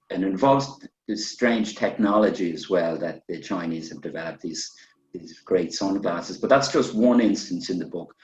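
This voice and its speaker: A man moderating a literary panel, putting loosely phrased questions to a writer. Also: low voice. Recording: dull, echoey lo-fi sound.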